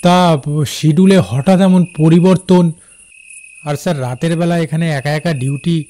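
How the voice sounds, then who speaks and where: A man's voice: fairly high pitch, steady tone, normal speed, dark tone, natural voice. A man with a West Bengal accent playing a friendly older-brother figure, a railway worker, in a cartoon ghost story. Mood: uneasy, pleading. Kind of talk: dramatized cartoon dialogue and storytelling. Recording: crickets and rain behind.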